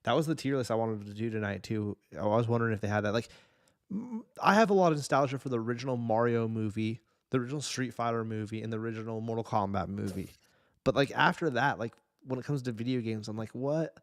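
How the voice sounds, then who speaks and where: A man's voice: mid-range voice, fast talker, no room sound, clean studio sound. A man hosting a podcast in casual, informal chat, swearing now and then. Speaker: podcast host. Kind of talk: casual chat about video game adaptations.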